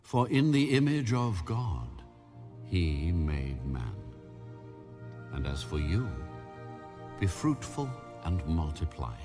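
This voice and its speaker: A man narrating scripture in a solemn, measured, grave manner with deliberate pauses. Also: low voice, big pitch swings, warm tone, faint music behind. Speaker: audio Bible narrator.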